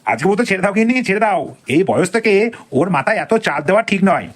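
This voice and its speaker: A man's voice: high-pitched, big pitch swings, fast. A man voicing an indulgent grandfather who reasons and remonstrates in a Bengali comedy cartoon. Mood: reasoning.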